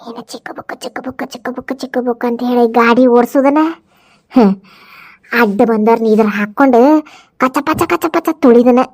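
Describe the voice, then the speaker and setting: A woman's voice: on the high side, measured pace, pauses often, a little mellow, soft background music. A woman playing a spiteful, gloating schemer in a family drama, taunting and muttering with a North Karnataka accent. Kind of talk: melodramatic family drama acting.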